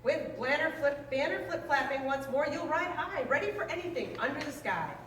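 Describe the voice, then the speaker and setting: A woman reading a children's rhyming book aloud in a lively, sing-song way. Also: sits high, normal speed. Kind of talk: read-aloud storytelling. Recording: roomy, distant mic, faint noise.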